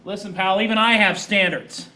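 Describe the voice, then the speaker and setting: A man's voice: high-pitched, steady tone, normal speed, pushed and bright, slight background noise, rough recording. A man playing a cocky hack scriptwriter who wisecracks inside a live film noir radio parody. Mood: flippant. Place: theater stage before a live audience.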